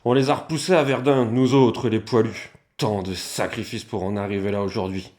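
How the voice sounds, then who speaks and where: Man playing a gruff, stubborn veteran farmer. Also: lowish voice, steady tone, moderate pace, darkish tone, natural voice. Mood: indignant, bitter. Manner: gruff, grumbling, indignant. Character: stubborn, bitter middle-aged farmer, Great War veteran.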